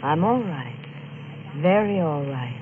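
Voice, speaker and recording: An actress playing an eerie, calm young woman, a ghostly passenger in a 1950s radio thriller, speaking slowly in sparse phrases. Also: low-pitched. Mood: eerily calm. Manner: slow, sparse, echoing his words. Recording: noisy, tinny 1950s radio recording.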